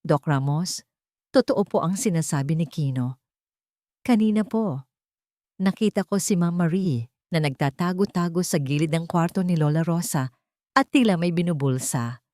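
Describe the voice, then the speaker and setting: A woman's voice: sits low, animated, normal speed, long pauses, a little mellow, no room sound, clean studio sound. Woman voicing a nervous young Filipina nurse. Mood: earnest. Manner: polite, hesitant, anxious. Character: nervous young female intern nurse, earnest witness.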